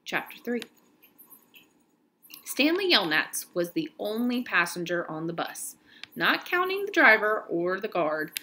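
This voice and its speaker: A woman reading a children's novel aloud in an expressive storytelling style, now and then stumbling and correcting herself. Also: mid-range voice, unhurried, slight background noise.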